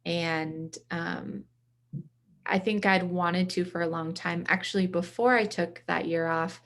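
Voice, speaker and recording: Female interview guest from the East Coast, reflective and casual, lowish pitch, plenty of vocal fry. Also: steady tone, unhurried. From from the US East Coast, around Boston.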